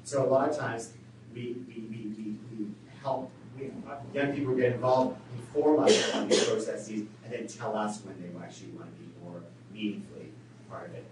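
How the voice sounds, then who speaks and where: A man's voice: lowish voice, steady tone, moderate pace. A male researcher speaking at a public panel in a coffeehouse, loose and casual, leaning on "kind of". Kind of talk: panel discussion. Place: coffeehouse event room. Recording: echoey room, distant mic, faint noise.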